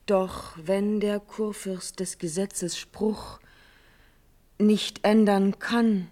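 A devoted, brave young noblewoman of classical verse drama. She declaims slowly and solemnly in a medium-pitched voice, pleading with measured dignity. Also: steady tone, mellow. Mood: grave, encouraging.

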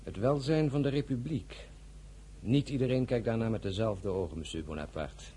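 An actor playing a proud, principled army general in a radio drama, measured, courteous and dryly wary. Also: male voice, on the low side, warm tone, breathy edge. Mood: firm, wary.

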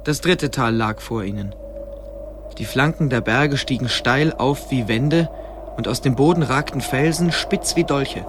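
An actor narrating a fantasy adventure as the storyteller of a scripted radio drama. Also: male voice, mid-range voice, a little slow, noisy background, natural voice. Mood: atmospheric.

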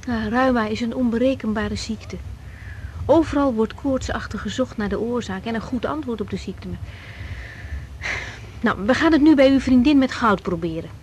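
A woman's voice: fairly high pitch, normal speed, darkish tone, a little hiss or hum, close to an everyday voice, poor audio. An actress playing a young, overworked woman doctor in a radio drama, speaking candidly, colloquially and with emotion. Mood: earnest, explaining. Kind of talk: 1980s Dutch radio drama acting.

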